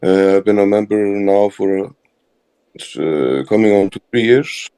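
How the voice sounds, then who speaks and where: A man's voice: lowish voice, lively intonation, measured pace, mellow, smooth. A man from Iceland with an Icelandic accent, giving plain, hesitant answers as an interview guest. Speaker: interview guest, fraternity member.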